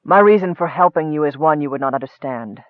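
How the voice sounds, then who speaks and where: A man voicing a frail, sickly, bitter dark wizard in a fantasy audiobook, softly rasping and mocking, on a muffled recording. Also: fairly high pitch, animated, no room sound, natural voice. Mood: soft, ominous. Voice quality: soft, rasping, breathy. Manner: mocking, cryptic, measured.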